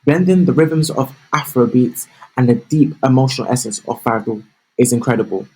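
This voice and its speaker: A male host with a slightly husky, breathy voice moves through his prepared interview questions. His delivery stays upbeat and full of praise for the guest. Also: medium pitch, moderate pace, a little mellow, low-quality recording.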